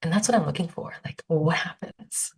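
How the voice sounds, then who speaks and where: Poet guest, woman, low voice, native vietnamese speaker, thinking aloud and full of "like". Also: brisk, breathy edge. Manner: thinks aloud with many "like" fillers and self-corrections. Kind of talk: casual conversational interview.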